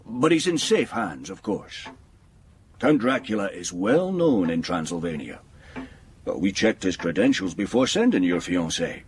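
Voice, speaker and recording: Slow, kindly old solicitor. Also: male voice, sits low, big pitch swings. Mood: polite, reassuring. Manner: genteel, avuncular, reassuring. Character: kindly older male English solicitor, paternal and reassuring. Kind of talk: period audio drama acting.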